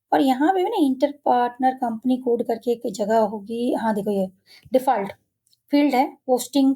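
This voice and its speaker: Mellow, higher-pitched woman giving a tutorial. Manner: instructional, often checking in with "okay". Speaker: software trainer. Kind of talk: step-by-step screen tutorial, informal spoken explanation.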